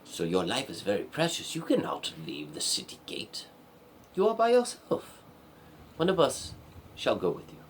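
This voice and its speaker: A man's voice: mid-range voice, huge pitch swings, normal speed, a little hiss or hum, voice pushed up. A man voicing an insistent palace gate guard who pleads in a pompous, formal way. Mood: fussy pleading.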